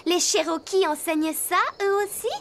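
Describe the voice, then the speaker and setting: A woman playing an eager, caring teenage girl horse rider in a French animated series. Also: very high voice, normal speed, heavy background noise. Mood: concerned. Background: music.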